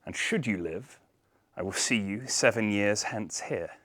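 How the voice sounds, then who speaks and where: A man's voice: lowish voice, measured pace, a bit bright, no room sound, studio quality. A man voicing the devil, a sly bargaining figure, in a storytelling performance. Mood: ominous.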